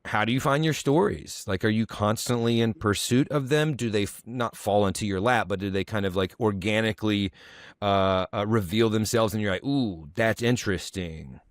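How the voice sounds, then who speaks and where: A man's voice: fairly low pitch, normal speed, dry recording, clean studio sound. A man hosting an interview podcast, asking long, enthusiastic questions with plenty of fillers. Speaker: podcast host. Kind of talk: casual interview.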